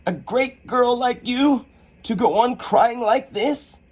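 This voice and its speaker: A man's voice: very high-pitched, slow pace, pitched above the performer's own voice, heavily acted. A man voicing a curious, bewildered young girl who is easily upset, in a storybook reading. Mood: scolding, tearful. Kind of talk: storybook character voice.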